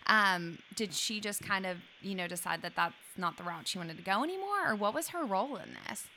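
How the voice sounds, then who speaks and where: Bright-voiced young woman interviewer. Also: medium pitch, animated, slight background noise, rough recording. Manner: eager, quick reactions. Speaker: podcast host. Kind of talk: casual interview chat.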